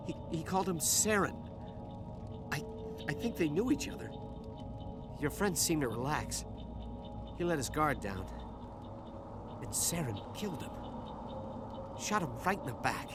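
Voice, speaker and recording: Stammering, high-voiced cowardly dockworker. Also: male voice, sing-song, measured pace, long pauses. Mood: shaken. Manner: stammering, nervous, pleading. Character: cowardly, lazy male dockworker, frightened survivor. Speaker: video game voice actor. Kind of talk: cinematic sci-fi game dialogue. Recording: music under the voice.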